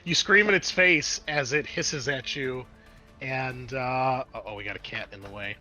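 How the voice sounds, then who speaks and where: Man, game master, bright forceful voice, animated rules talk and narration, faint music behind. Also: mid-range voice, unhurried. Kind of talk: narrating and refereeing a tabletop roleplaying game. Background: background music.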